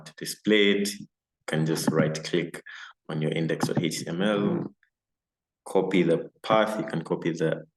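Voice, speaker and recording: A man teaching a casual live coding lesson on a video call, with lots of fillers. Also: deep voice. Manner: relaxed and casual, many fillers. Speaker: coding instructor. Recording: roomy echo, faint noise.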